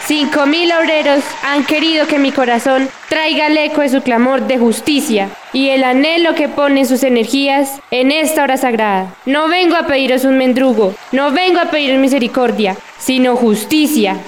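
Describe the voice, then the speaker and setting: A woman acts out a fervent, solemn workers' orator of the 1920s making a speech. Her high voice comes over a noisy crowd. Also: moderate pace, natural voice. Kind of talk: acted political speech.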